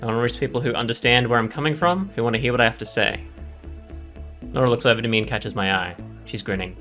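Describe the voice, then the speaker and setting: A male let's player reads visual novel text aloud in a quick, bright voice of middle pitch, with his own reactions. Faint game music sits behind him.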